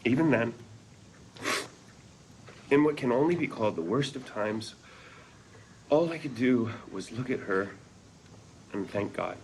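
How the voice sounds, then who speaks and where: An actor playing a wistful father who narrates his love story to his children in an earnest, measured way, at a script read-through. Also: medium pitch, animated, darkish tone. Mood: solemn, grateful. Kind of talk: reflective first-person scripted narration. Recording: noisy live room recording.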